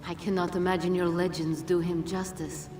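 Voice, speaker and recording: A woman's voice: lowish voice, level tone, background noise, lightly acted. Woman as an echoey, solemn ancient female Jinn. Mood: grave, measured. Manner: formal, measured, grave phrasing. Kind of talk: video game cutscene acting. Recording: echoey, distant, loud music behind.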